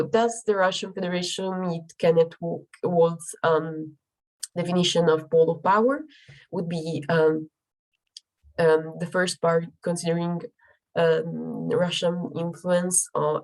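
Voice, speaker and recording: A woman's voice: on the low side, darkish tone, low-quality recording. A young woman presenting her research, her voice affected by a cold, speaking carefully with frequent ums and restarts. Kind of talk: academic presentation.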